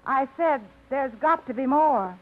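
A woman's voice: high voice, animated, a little slow. An actress in her thirties playing a restless, wry single woman who runs a lending library, in a 1950s radio drama. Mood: wistful. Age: 30s. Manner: wry, spirited. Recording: tinny old radio broadcast.

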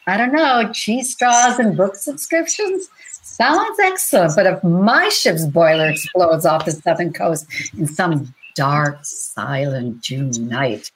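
A woman's voice: lowish voice, sing-song, takes it slowly, a little hiss or hum, poor audio. A woman co-hosting a book show in a polished, presentational way. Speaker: author and show co-host.

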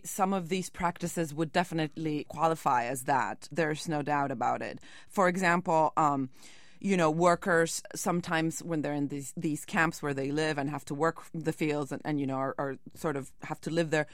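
A woman speaks as a reporter being interviewed. Her voice sits on the low side and is recorded very dry. She explains things in a searching way, with hedging asides. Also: no echo.

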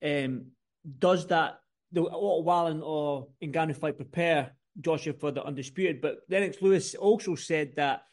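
Man, high voice, casual sports interviewer, wordy questions, tentative rephrasing. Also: a little slow.

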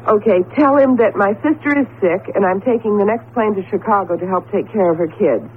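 Radio drama wife female actor: medium pitch, loving but brisk, expressive delivery, phone-like vintage sound. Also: close to an everyday voice. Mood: businesslike. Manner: playful scolding, expressive, affectionate. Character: affectionate, teasing, brisk professor's wife. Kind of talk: 1970s radio drama acting. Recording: tinny old radio with background noise.

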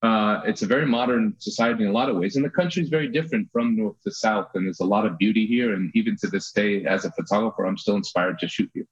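An American man in his thirties, a photographer guest telling his story in a relaxed chat with frequent restarts. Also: lowish voice, quick pace, few pauses, husky. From USA. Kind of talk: casual interview chat. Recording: dull lo-fi audio.

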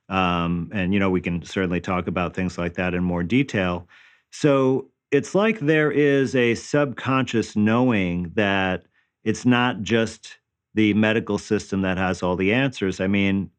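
A man in his fifties answering interview questions conversationally, explaining things and often checking for agreement. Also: lowish voice. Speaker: interview guest, natural healing practitioner.

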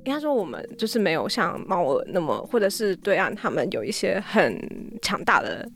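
A woman's voice: lowish voice, sing-song, fairly quick, a bit bright, soft background music. A woman with a Taiwanese Mandarin accent talking casually as an interview guest, explaining things in a rambling, self-effacing way. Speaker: podcast guest, app founder.

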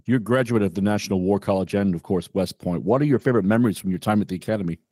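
A man hosting an interview podcast, asking questions briskly and formally. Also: lowish voice, mellow, no echo, studio quality. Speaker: podcast host.

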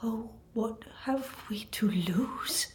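Casting: plaintive theatrical player, high-pitched, slow-paced, desperate, for radio drama. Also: female voice. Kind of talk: theatrical Shakespearean audio drama acting.

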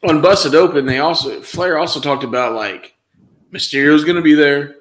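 A man's voice: fairly high pitch, moderate pace, a bit bright, roomy sound, rough recording. A man co-hosting a casual podcast, rambling with many fillers and restarts. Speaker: podcast co-host. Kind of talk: casual wrestling podcast chat.

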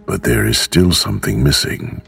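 A kindly old grandfather played by a male narrator speaks in a storybook. His voice is very low and gravelly, and he talks calmly and slowly over soft music. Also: exaggerated voice. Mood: approving.

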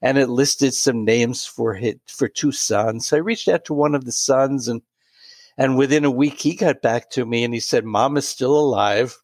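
A man in his late sixties telling a personal story in an interview, pausing and restarting often. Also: medium pitch, no room sound. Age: 60s. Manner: warm, halting anecdotal storytelling with many restarts. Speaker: guest.

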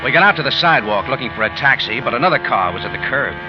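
A man's voice: medium pitch, animated, racing, natural voice. An actor playing a tough, wry detective who tells his own story in clipped slang, in 1940s radio drama style. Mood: tense, rueful. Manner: hard-boiled, clipped, slangy. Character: tough, wry American detective hero telling his adventure. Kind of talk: 1940s radio drama acting and first-person narration. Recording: muffled old radio recording, music behind.

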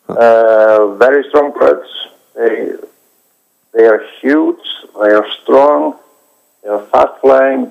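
A man from Iceland, a documentary director answering interview questions in a halting way, with many hesitations and restarts. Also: medium pitch, vocal fry. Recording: dull lo-fi recording.

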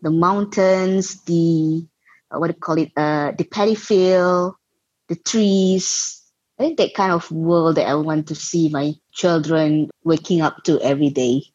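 A woman's voice: sits low, steady tone, unhurried, a little mellow, slightly rough, dry recording. A young Malaysian woman with a Malaysian accent, a guest founder answering interview questions thoughtfully and searching for words. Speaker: guest, social enterprise founder. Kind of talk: interview conversation. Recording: dull lo-fi sound.

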